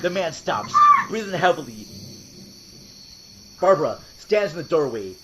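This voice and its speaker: A man's voice: fairly high pitch, very animated, unhurried, heavy background noise. A man giving grave, theatrical scripted narration for a horror audio drama. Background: loud music and sound effects.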